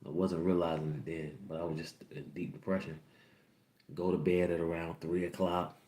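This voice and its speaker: Young guy hosting a podcast, real low voice, rambly and candid, kinda echoey room. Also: level tone, moderate pace, mellow. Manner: candid, rambling, repeats himself. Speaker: podcast host. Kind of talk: personal storytelling to a livestream audience.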